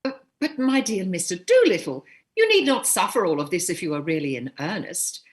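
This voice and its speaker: An actress playing a composed, commanding upper-class older mother, firm and measured in a staged play reading. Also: on the low side, sing-song. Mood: composed, practical.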